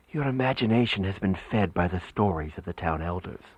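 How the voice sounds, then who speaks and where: A man's voice: deep voice, animated, moderate pace, husky, no room sound. An actor playing a protective, sceptical male villager in a radio horror play, speaking in formal, stagey phrases. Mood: dismissive, calm. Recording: muffled lo-fi radio play, music underneath. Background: music.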